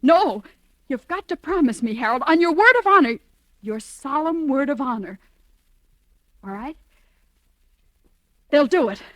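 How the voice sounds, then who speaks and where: High-voiced earnest young heroine. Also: female voice, animated, fairly bright tone, no room sound. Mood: anxious pleading. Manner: breathless, emotional, halting delivery. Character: earnest, trusting young bride-to-be turned disillusioned. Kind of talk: 1940s radio drama acting and first-person narration.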